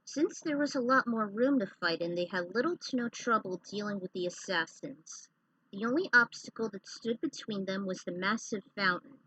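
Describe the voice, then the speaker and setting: A woman's voice: medium pitch, normal speed, pushed and bright, breathy edge, no room sound, rough recording. A woman hosting with casual commentary and reading a story she has written out. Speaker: host and fan fiction reader.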